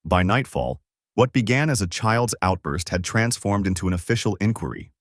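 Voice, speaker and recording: Guy with a lowish voice narrating a dramatic story, solemn n suspenseful, super dry sound no room. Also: expressive, normal speed, dry recording.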